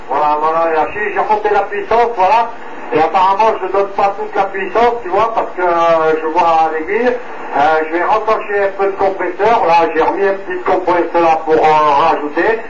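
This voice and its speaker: A man's voice: sits high, steady tone, normal speed. A man heard over CB radio, explaining technical matters to another operator in a colloquial, repetitive way. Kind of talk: radio contact chat. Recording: noisy, echoey, distant, muffled CB radio transmission.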